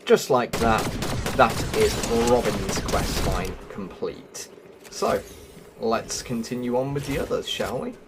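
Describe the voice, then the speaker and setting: A man's voice: medium pitch, expressive, slow, noisy background. A man giving casual walkthrough commentary as the host of a gaming video. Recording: music behind the voice.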